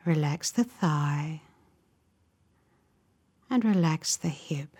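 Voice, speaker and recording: A woman leading a guided relaxation meditation in a soft, breathy voice, giving gentle, soothing instructions in short, measured phrases. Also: deep voice, lively intonation. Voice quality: soft, breathy, often near a whisper.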